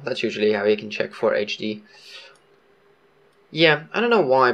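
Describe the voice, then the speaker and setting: A man's voice: mid-range voice, a bit bright. Man with a cold chatting about tanks. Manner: rambling, frequent ums and self-corrections. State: has a cold. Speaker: gaming video host. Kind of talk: casual game commentary.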